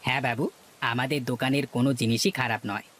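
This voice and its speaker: A man's voice: medium pitch, fairly even intonation, fast talker, fairly bright tone, slight background noise, close to an everyday voice. A man voicing a deferential market stall helper in a cartoon, hawking fish and meat politely. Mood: polite selling. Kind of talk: Bengali cartoon voice acting.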